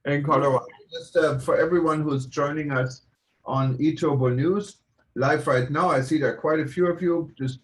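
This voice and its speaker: A man's voice: on the high side, steady tone, normal speed, low-quality recording. A man hosting a live online show from his home, making loose, apologetic announcements with restarts. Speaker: host. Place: home room in Honolulu.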